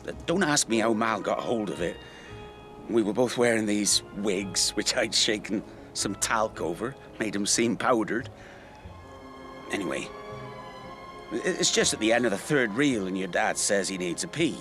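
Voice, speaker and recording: A rueful rural man in a radio drama, his voice on the low side and very mobile in pitch. He tells his story conversationally, halting and reflecting as he goes, with music behind him. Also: expressive. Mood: nostalgic, halting. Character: rueful rural man recalling an old friend's tragedy. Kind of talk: naturalistic radio drama monologue.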